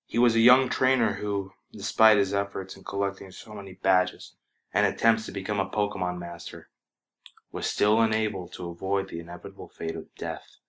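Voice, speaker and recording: Very deep-voiced man narrating a horror story. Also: a bit bright.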